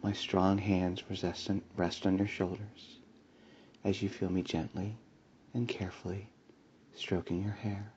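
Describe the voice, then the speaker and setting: A man delivering a slow hypnotic induction in a soft, soothing voice with breathy whispers and a repetitive commanding cadence. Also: low-pitched, flat delivery, highs cut off, slight background noise. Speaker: hypnosis audio creator.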